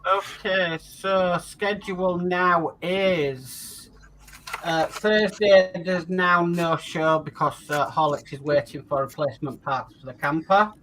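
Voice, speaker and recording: A man hosts a relaxed online hobby stream. His voice sits high and sounds bright. He reads viewer comments aloud and runs down the schedule over a call. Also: takes it slowly, slight background noise, rough recording. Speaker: host. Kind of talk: casual chat on a live stream.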